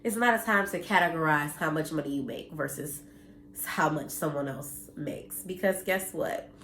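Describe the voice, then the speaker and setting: A woman in her thirties speaks to camera at home, slightly nasal and congested, earnest and blunt with the odd curse. Her voice sits a little low. The room echoes and a faint hum of ambience stays underneath. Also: moderate pace, a bit bright. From United States. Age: 30s. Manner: earnest and blunt, mildly preachy, occasional cursing. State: congested from allergies. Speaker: vlogger. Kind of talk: casual direct-to-camera talk.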